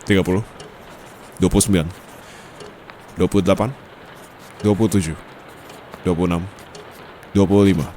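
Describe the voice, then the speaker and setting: A young man voicing a teasing private tutor who turns out to be dominant, in a soft, slow ASMR roleplay delivery close to the mic. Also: low voice, natural voice. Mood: counting down. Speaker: voice actor. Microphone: close condenser mic. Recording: steady background noise with traffic.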